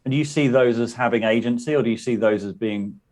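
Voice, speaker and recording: A man's voice: mid-range voice, quick pace, a little mellow. A man interviewing a guest in a played clip, asking tentative questions with restarts.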